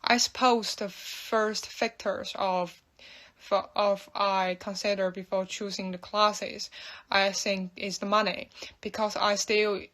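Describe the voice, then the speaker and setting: Young chinese-accented girl, kinda monotone, slow n halting, searching for words. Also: medium pitch. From mainland China. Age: young adult. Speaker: student. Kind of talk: casual answers to camera. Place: indoor room.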